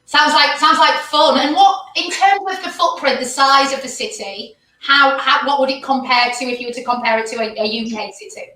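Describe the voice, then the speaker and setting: Enthusiastic female host, bright voice, roomy and off mic. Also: high voice, normal speed, few pauses, recorded from a distance. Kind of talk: live online show chat.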